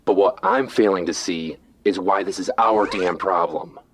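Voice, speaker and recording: An actor playing a defiant, sarcastic armored superhero who talks bluntly in an audio drama. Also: male voice, sits low, lively intonation, normal speed. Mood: challenging. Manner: blunt, sardonic.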